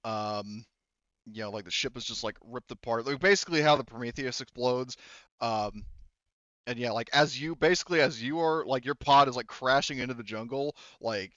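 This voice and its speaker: Man, game master, casual halting narration, profane, close dry sound, muffled lo-fi recording. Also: mid-range voice, bright, forceful tone, no room sound. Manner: halting, casual, swears freely.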